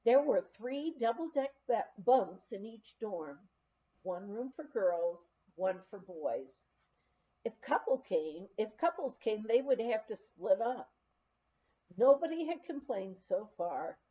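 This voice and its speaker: Woman, audiobook reader, mellow tone, very animated intonation, emphatic storytelling, slightly dull lo-fi recording. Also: medium pitch, slow. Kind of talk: reading a memoir aloud.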